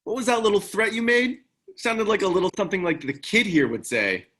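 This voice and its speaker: An actor in a screenplay table read playing a loyal, steady ex-military pilot with an understated, wry, casual manner. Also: male voice, high-pitched, a little fast, full character voice. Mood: wry teasing.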